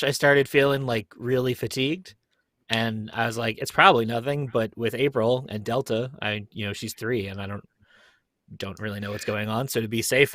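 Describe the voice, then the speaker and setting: Guy in his 30s rambling casually on a call, middle pitch, pretty dry sound. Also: a bit bright, dry recording. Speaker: podcast cast member. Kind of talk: casual chat. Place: basement.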